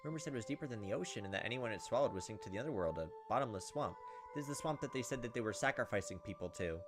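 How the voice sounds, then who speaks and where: A man with a mid-pitched voice hosts a let's play of a visual novel at a quick clip. He reads the text out as dramatic narration, then slips into casual asides of his own. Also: animated, over music. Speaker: gaming video host. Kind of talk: let's play, reading a visual novel aloud with off-the-cuff reactions.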